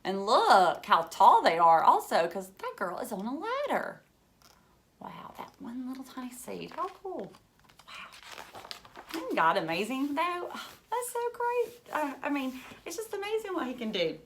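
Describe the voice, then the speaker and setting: A woman teacher reading a picture book aloud to young children, pausing for enthusiastic asides, simple explanations and questions to her listeners. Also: sits high, wildly swooping pitch, a bit bright, slight background noise.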